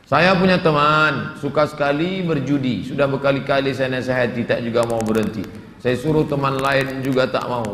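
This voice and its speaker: A man, an Islamic preacher answering audience questions in a humorous, conversational sermon with acted-out asides. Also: mid-range voice. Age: 40s. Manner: humorous, conversational, with dramatic asides and Arabic quotations. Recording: echoey hall with long reverb, background noise.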